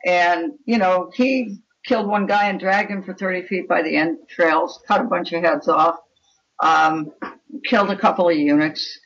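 A woman's voice: lowish voice, steady tone, normal speed, fairly bright tone. A woman speaking as a guest author in an interview, talking about writing fiction in an opinionated, emphatic way. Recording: dull, lo-fi call audio.

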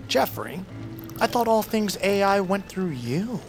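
A man's voice: high-pitched, big pitch swings, unhurried, creaky, over music, heavily acted. A man playing a showy, teasing survivalist breathing guru in an audio drama, with an exuberant, jokey manner. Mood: wry, teasing.

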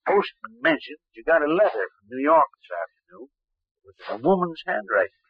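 Lively, folksy old-radio American lawman. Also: a little high, moderate pace, slightly rough, dry recording. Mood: suspicious. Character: shrewd, folksy small-town island lawman, suspicious and unhurried. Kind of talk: old-time radio drama acting. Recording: dull, muffled old radio recording.

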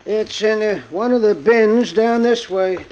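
A man voicing a nervous, halting baggage clerk in 1940s radio drama style. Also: darkish tone. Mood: hesitant. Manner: halting, hesitant, high strained voice. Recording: dull lo-fi old radio recording.

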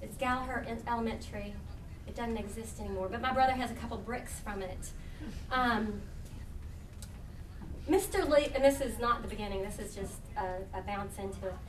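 A woman's voice: a little high, normal speed. An American woman humorist reading her writing live to an audience, with folksy, playful storytelling and chatty asides. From Huntington, West Virginia, United States. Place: indoor live reading event. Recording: echoey room, distant mic, faint noise.

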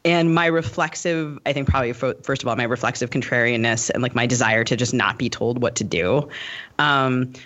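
A man's voice: medium pitch, fairly quick, sharp tone. A man co-hosting a casual interview, talking in a discursive way and often qualifying his own points. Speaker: podcast co-host interviewer. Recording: dull lo-fi sound.

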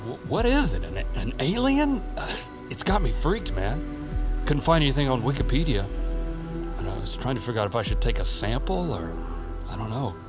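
A man's voice: medium pitch, sing-song, moderate pace, lightly acted. Spooked, rambling landlord guy played by an actor. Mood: nervous, baffled. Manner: hesitant, stammering, conversational. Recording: music under the voice. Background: music.

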